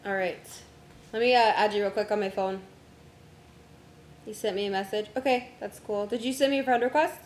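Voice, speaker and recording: A young woman streamer chatting casually with friends while setting up a game, her voice going hoarse from a long day of talking. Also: mid-range voice, fairly even intonation, fairly quick, long pauses, echoey room, slight background noise. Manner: distracted, talks to herself while navigating menus.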